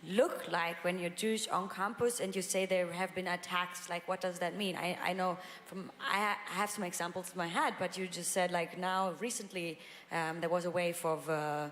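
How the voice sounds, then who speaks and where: A woman's voice: fairly low pitch, steady tone, moderate pace, fairly bright tone. A woman moderating a panel discussion, hesitant, with many fillers and restarts. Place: large conference hall. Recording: reverberant conference hall, faint ambience.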